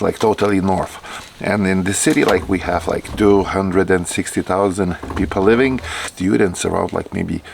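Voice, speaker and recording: A man's voice: on the low side, creaky, background noise. Man vlogging, chatty with fillers. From Lithuania. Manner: rambling, many fillers and restarts. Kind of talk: casual vlog talk. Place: outdoors in winter, later indoors.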